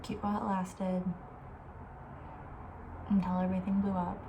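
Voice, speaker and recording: A soft-spoken woman host chatting casually in an ASMR style, often near a whisper, informal and thinking aloud. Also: medium pitch, monotone, normal speed, background noise.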